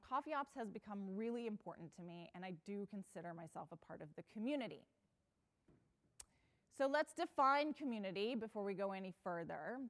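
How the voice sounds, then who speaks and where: A woman's voice: medium pitch, fairly bright tone. Woman giving a talk on stage, earnest and personal, a few ums and restarts, stage mic. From United States (Pacific Northwest). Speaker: conference speaker. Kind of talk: conference talk. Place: conference stage.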